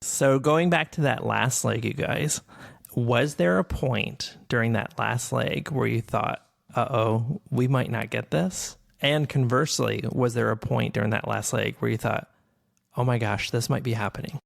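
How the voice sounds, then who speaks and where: A male podcast host with a noticeably gravelly voice. He asks his questions and muses in an unhurried, measured way, at a middling pitch. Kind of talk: casual interview chat.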